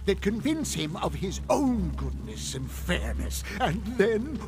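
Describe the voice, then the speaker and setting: An actor playing a commanding, scornful senior demon in a radio drama, instructing with imperious, deliberate delivery. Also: male voice, high voice, huge pitch swings, full character voice. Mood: sly instructing. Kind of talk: theatrical radio drama acting. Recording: music under the voice.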